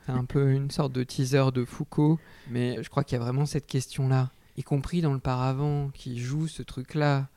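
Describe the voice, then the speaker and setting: A man's voice: medium pitch, dark tone, dry recording. A man speaking as a textile artist in an interview, giving reflective answers and often hesitating while he searches for words.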